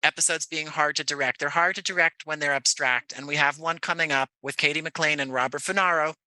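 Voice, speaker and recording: A man's voice: on the high side, fairly even intonation, brisk, sharp tone, no room sound. A man from Jersey City chatting casually as an interview guest, telling stories in a discursive way. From Jersey City, United States. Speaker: guest playwright and director. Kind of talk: casual podcast conversation.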